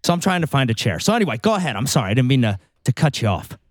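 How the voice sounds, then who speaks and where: A man's voice: mid-range voice, sing-song, racing, no room sound, studio quality. A man co-hosting a podcast, chattering restlessly and swearing casually. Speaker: podcast co-host. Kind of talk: casual chat.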